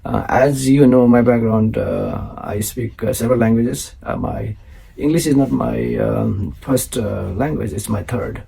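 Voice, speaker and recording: A man, a Maithili speaker, introduces his show in a rough, husky voice. His tone is dark and mellow, and his speech halts on frequent fillers and restarts. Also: medium pitch. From South Asia. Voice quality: gravelly, husky. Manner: halting, many fillers and restarts. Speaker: host.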